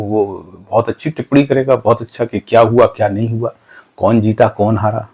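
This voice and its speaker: A man's voice: medium pitch, a little mellow, slightly rough. A man teaching informally and earnestly, offering motivational advice with rhetorical questions and listed examples. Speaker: cartooning teacher and channel host. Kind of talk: informal motivational lesson talk.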